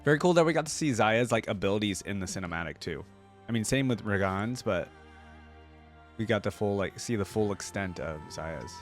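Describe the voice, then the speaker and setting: A man's voice: fairly low pitch, expressive, fairly quick. A man hosting a reaction video, giving casual, conversational commentary on game lore. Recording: loud music behind the voice. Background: music.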